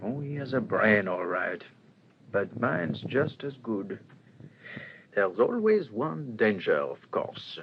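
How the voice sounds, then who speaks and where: An actor playing a shrewd, self-assured police detective in a radio crime drama, with a theatrical delivery. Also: male voice, lowish voice, big pitch swings, slow pace, gravelly, full character voice. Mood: musing, self-assured. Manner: theatrical, musing then demanding. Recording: dull old radio recording, faint hiss.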